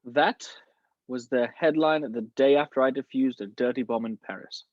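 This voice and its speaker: A composed middle-aged gentleman spy, read by a man in a table read, measured and calm. His voice has an average pitch. It comes through a dry, slightly dull home microphone. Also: no echo, natural voice. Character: polished middle-aged male spy mentor. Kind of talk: amateur table read over video call.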